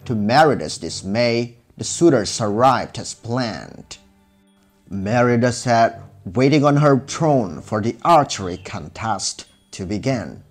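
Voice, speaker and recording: A man narrating a scripted children's fairy tale in a measured, expressive storybook style. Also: mid-range voice. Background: music.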